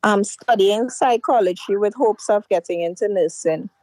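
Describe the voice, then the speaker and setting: Quick student caller woman from Trinidad. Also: mid-range voice, a little mellow, no room sound, rough recording. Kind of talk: phone-in question.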